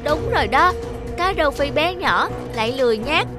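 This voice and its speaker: A woman voicing a small, young talking fish in an animated fairy tale, expressive and earnest. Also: high voice, moderate pace, over music. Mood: accusing.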